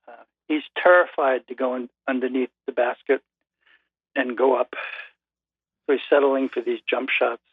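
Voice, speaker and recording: An older man speaks over a tinny phone line, his voice sitting in a middle pitch. He talks slowly and haltingly with a dry, reserved manner, chatting as the host's father. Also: steady tone, slightly rough, no room sound. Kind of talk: casual phone chat. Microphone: phone call.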